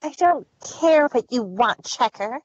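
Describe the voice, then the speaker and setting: Storybook young mare, acted by a man: earnest, wounded, defiant, high and drawn-out. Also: expressive, dull audio, slight background noise. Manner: pleading, defiant.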